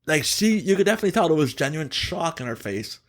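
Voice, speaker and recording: A man hosting a casual gaming podcast, giving opinions emphatically in a halting, self-correcting way. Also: on the high side, big pitch swings. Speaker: podcast host.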